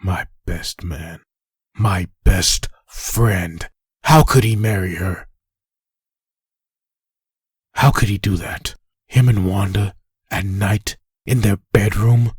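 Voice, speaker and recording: A man playing a brooding, lovesick husband haunted by memories, in a husky voice for a dramatic comic dub. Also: lowish voice, slow pace, long pauses, no room sound, studio quality, full character voice. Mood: anguished, jealous. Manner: brooding inner monologue.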